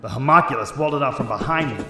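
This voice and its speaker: A man's voice: medium pitch, quick pace, close to an everyday voice. Man acting an echoey-sounding hard-boiled noir narrator. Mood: tense recounting. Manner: terse, dramatic. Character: hard-boiled male noir detective narrating his story. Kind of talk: first-person scripted noir narration. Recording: echoey room, music underneath. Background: music.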